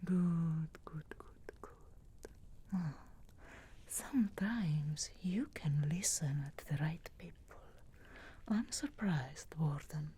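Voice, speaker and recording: A woman playing a sharp-tongued, sarcastic, reluctantly caring witch in a soft whispered ASMR fantasy roleplay, with hushed musing hums and deliberate pauses. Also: deep voice, slight background noise. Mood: teasing, tender.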